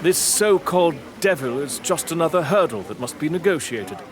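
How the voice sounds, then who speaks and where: An actor playing a proud, headstrong lord in a period audio drama, speaking in a commanding, formal, theatrical manner. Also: male voice, fairly high pitch, expressive, moderate pace, background noise, natural voice. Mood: rousing, defiant. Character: proud, headstrong English lord leading a stag hunt.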